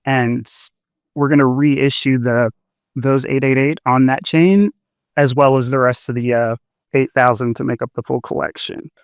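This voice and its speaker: A man's voice: mid-range voice, steady tone, normal speed, smooth, dry recording, clean studio sound. A man who founded a project, explaining it in a plain, matter-of-fact way during a casual live audio chat.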